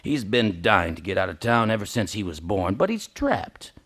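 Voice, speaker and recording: An actor playing a greedy, scheming old male banker in a radio play, gruff and overbearing. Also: lowish voice, very animated, normal speed, fairly bright tone. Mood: smooth persuading.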